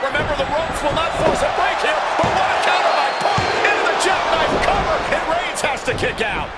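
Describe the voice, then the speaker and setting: A man playing an excited pro wrestling commentator, calling play-by-play in a dramatic, projecting voice. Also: very high voice. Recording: loud arena crowd noise and music. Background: roaring crowd, thumps.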